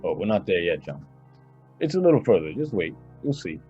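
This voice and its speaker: A man's voice: low-pitched, sing-song, fairly quick, warm tone, highs cut off, soft background music. An actor playing a nonchalant young rich heir in an old-time radio drama, casually boastful about his family's wealth. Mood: casual boasting. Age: young adult.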